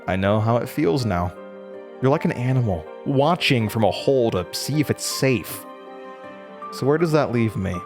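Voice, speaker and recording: A man's voice: mid-range voice, expressive, moderate pace, music in the background, close to an everyday voice. A young man in a horror audio drama playing a weary, defiant college student haunted by his past, candid and dryly resigned. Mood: accusing, firm. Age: young adult.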